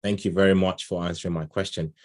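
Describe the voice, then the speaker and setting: A man's voice: low voice, level tone, fast, darkish tone. A man moderating an online session in an informal, conversational and chatty way, full of thanks and encouragement. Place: online video call.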